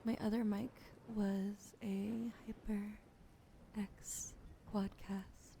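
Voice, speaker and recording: A woman streamer chatting with viewers during an ASMR livestream, often whispering softly, close to a sensitive studio mic. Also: mid-range voice, flat delivery, vocal fry, a little hiss or hum. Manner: often whispering, soft and unhurried. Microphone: sensitive studio mic on a boom arm.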